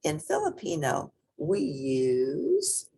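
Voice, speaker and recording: A woman reading a children's picture book aloud in an expressive, deliberate and emphatic storytelling manner. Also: low-pitched, gravelly, a little hiss or hum. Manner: deliberate, emphatic storytelling with spoken asides to listeners.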